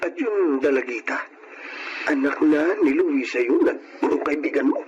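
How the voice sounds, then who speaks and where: Man playing nosy animated buddy, muffled recording. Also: on the high side, moderate pace, close to an everyday voice. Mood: incredulous, amused. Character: nosy, frank middle-aged male buddy. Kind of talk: Filipino radio-style melodrama acting. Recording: muffled, noisy, radio-like sound.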